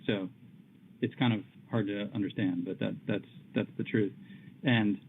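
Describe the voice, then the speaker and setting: A man's voice: fairly low pitch, steady tone, a little slow, husky. A man speaking as a company executive, explaining things off the cuff on an earnings call. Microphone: conference call line. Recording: phone-like call audio, faint noise.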